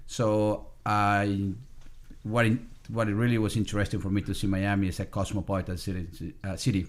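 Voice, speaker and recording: Salvadoran-accented man, low voice. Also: little pitch movement. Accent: Salvadoran Spanish. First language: Spanish. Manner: halting, many fillers and restarts. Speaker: restaurant owner guest. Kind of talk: casual interview.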